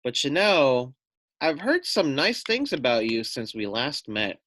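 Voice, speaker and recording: A man voicing a small young female lamb from a storybook, sly and calm, with a coaxing, flattering manner. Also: mid-range voice, unhurried, dry recording.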